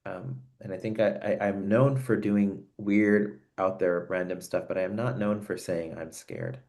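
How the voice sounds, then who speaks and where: A man hosting a reflective conversation over a video call, speaking in an earnest, searching and halting way. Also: low-pitched, fairly even intonation, creaky, darkish tone. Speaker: host.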